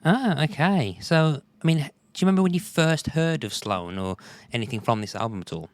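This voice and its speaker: A man hosting a music podcast in casual chat, his voice a bit croaky, rambling with frequent restarts. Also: a little high, animated, a little fast, no room sound. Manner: rambling, restarts often, chuckles. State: slightly croaky voice. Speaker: podcast host.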